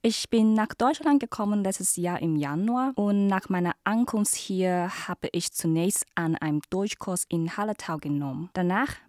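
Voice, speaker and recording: A woman from Hong Kong in her thirties, a native Cantonese speaker, answering podcast questions carefully with many pauses and fillers. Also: lowish voice, lively intonation, darkish tone, no room sound, studio quality. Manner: careful non-native speech with many hesitations. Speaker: interview guest, student ambassador.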